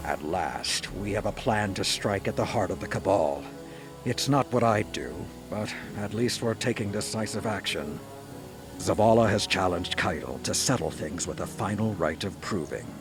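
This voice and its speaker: Very deep-voiced, hawkish military commander. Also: male voice, expressive, normal speed. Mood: resolute. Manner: stern, grave, emphatic. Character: hawkish, blunt male military commander eager for war. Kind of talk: scripted video game dialogue. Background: music.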